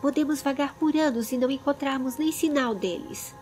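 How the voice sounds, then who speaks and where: A woman's voice: high voice, a little fast, a little mellow, faint music behind, pitched above the performer's own voice, lightly acted. A Brazilian woman voicing a hot-headed, frustrated young man in a fantasy audiobook. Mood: frustrated, arguing.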